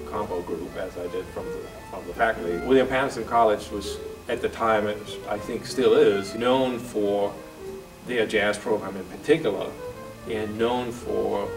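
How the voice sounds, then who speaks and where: Danish guy, 40s, kinda low voice, laid back with lots of uhs, mic far off in a room. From Denmark. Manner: relaxed, thoughtful, pauses with fillers. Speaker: guest jazz violinist. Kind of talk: casual interview answers. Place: office room, indoors.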